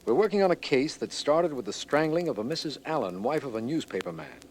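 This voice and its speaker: A man's voice: on the high side, animated, fast, natural voice. A man playing a formal, serious district attorney who narrates an old radio mystery. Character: sober, official male district attorney narrating the case. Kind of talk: mid-century radio drama narration. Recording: old radio recording, faint noise.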